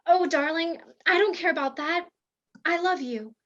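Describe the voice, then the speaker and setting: A woman's voice: very high-pitched, moderate pace, fairly bright tone. A woman playing a melodramatic, lovestruck soap-opera heroine who hides secrets, in a radio play parody. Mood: tender. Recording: dull lo-fi recording.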